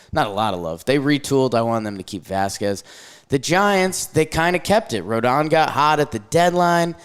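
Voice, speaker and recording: A young man co-hosting a casual sports show, joking and swinging his voice up and down as he recaps games. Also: clean tone. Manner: jokey, animated, quick swings between high and low voice. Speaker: podcast co-host.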